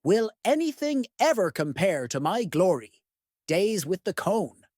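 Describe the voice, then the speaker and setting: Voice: medium pitch, sing-song, measured pace, a little mellow, dry recording, studio quality. A storyteller voicing a disgruntled, melodramatic male pet cat from a picture book, in a gloomy, theatrical manner. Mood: wistful.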